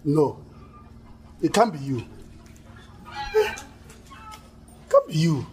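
A man's voice: fairly high pitch, huge pitch swings, extremely slow, darkish tone, slight background noise, heavily acted. An actor playing a disbelieving village father in a film drama, emphatic and repeating himself.

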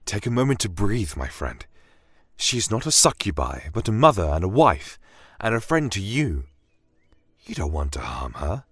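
A man playing a calm, principled inquisitor who reasons earnestly in a fantasy audio story. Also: lowish voice, moderate pace, husky, no echo, studio quality, voice pushed up, exaggerated voice. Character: calm, principled male church inquisitor, peacemaker. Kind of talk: fantasy audio drama acting.

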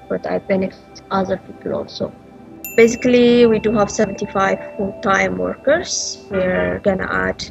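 Woman from Ethiopia, thirties, heavy vocal fry, hesitant but earnest, video-call sound. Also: on the low side, lo-fi sound. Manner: earnest, with frequent hesitations and restarts. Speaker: entrepreneur interviewee. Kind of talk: interview answers. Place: small indoor room. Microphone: earbud headset on a video call.

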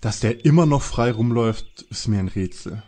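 Guy doing a nervy teen detective, husky voice, pitch jumping around, radio play spoof. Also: animated, moderate pace, warm tone. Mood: casual. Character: anxious, excitable teenage boy detective. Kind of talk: audio drama parody acting.

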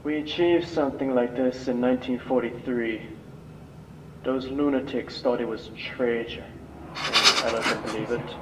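Game role: guilt-ridden elderly male scientist, acted by a man, grave and weary, mid pitch, distant echoey sound. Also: fairly even intonation, moderate pace, very noisy, lightly acted. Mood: grave, explaining. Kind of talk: dramatic video game monologue, amateur voice acting.

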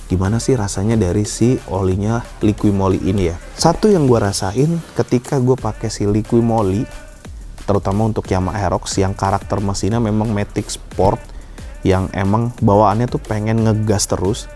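A man's voice: on the low side, dark tone. A young man, narrating and explaining casually as a motorcycle vlog host. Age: young adult. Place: indoors in a decorated lounge. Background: background music.

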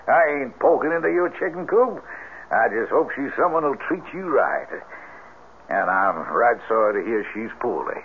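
An actor playing a friendly, nosy rural storekeeper with a Southern US accent in a 1970s radio drama, chatty and drawling. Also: male voice, medium pitch, expressive, normal speed, lightly acted. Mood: teasing, kindly. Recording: tinny vintage radio broadcast, faint noise.

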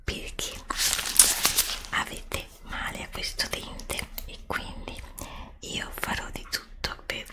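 A woman whispering slowly and soothingly close to the mic in an ASMR roleplay, repeating gentle reassurances as a dentist. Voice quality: breathy whisper. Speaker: ASMR creator role-playing a dentist. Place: indoors at a desk before a green screen. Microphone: Blue Yeti desktop microphone, close up.

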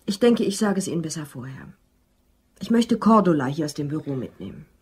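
An actress in a German crime radio drama playing a composed, ambitious office worker who speaks in a calm, pragmatic way. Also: female voice, low voice, a little fast, a little hiss or hum. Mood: calm, matter-of-fact.

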